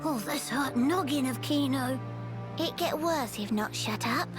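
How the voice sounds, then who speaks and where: Small cute creature for a video game, high and childlike, chirpy broken phrasing, score underneath. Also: a little slow, music in the background. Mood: flustered. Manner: chirpy broken grammar, third-person boasts. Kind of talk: video game character voice acting.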